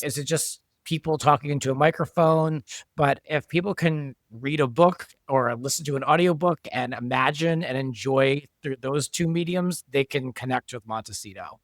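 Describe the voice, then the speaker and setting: A man's voice: mid-range voice, a bit bright, no echo. A man being interviewed as a producer and director, explaining things in a conversational way. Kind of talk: interview talk.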